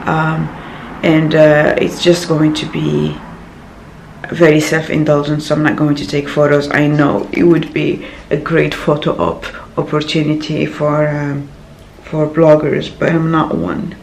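A woman in her thirties, croaky from little sleep, chatting casually to a handheld camera in her small apartment, rambling with many fillers. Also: deep voice, monotone, measured pace, a little mellow, a little hiss or hum, rough recording. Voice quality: gravelly. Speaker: vlogger. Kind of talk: casual vlog talk to camera. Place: small apartment bedroom, indoors. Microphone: handheld camera at arm's length.

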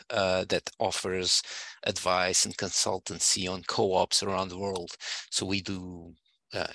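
A middle-aged man from Portugal, a co-op consultancy CEO, introducing himself on a video call through a headset, hesitant with many pauses. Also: low voice, pushed and bright, no room sound.

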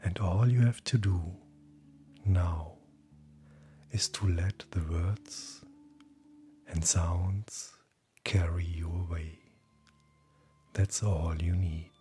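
Low, slow man guiding sleep hypnosis. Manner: calm, gentle, breathy, often near whisper. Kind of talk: guided hypnosis, soothing scripted narration. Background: soft background music.

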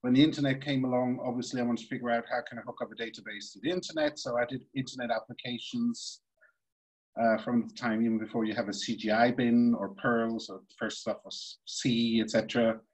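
A man from Switzerland, a podcast guest, telling the story of his career in a relaxed, rambling way. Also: mid-range voice, a little fast, husky, low-quality recording. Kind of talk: conversational storytelling.

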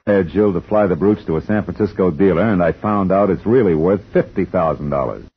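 A deep-voiced hard-boiled detective. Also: male voice, brisk, husky, full character voice. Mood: dry. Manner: dry, wisecracking. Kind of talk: 1950s radio drama acting and first-person narration. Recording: muffled 1950 radio broadcast with faint hiss.

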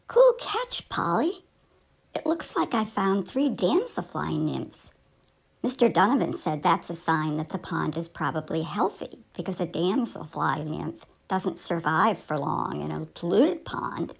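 A woman's voice: lowish voice, very animated, unhurried, vocal fry, exaggerated voice. A woman voicing a keen, studious fifth-grade schoolgirl in a scripted children's puppet show. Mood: excited.

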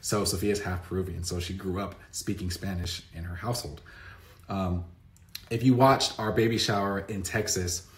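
A man in his thirties with a low voice chats straight into a phone held close. He rambles, restarts sentences and leans on fillers. The sound is from a bright room at home. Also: fairly even intonation, moderate pace. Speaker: vlogger answering viewer questions. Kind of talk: casual to-camera chat.

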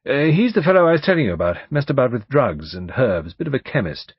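A man's voice: mid-range voice, big pitch swings, fast talker, husky, dry recording, dull audio, pitched above the performer's own voice. A man voicing a bluff, urbane and overbearing English barrister in an audiobook, breezy, emphatic and chatty. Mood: breezy, animated. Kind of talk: audiobook character dialogue.

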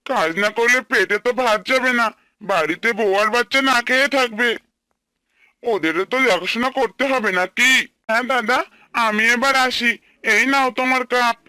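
A man's voice: extremely high pitch, moderate pace, pushed and bright, clean tone, no echo, heavily acted. A man voicing an earnest, plaintive, humble farmer and worried husband in a cartoon. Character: anxious humble young farmer and husband, pleading. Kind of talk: Bengali cartoon dialogue dubbing.

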